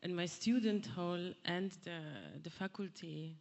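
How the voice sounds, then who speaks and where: Woman, slow, hesitant public question, lowish voice. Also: a little mellow. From Poland. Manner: halting, many fillers and restarts. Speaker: audience member asking a question. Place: theatre hall at a public event.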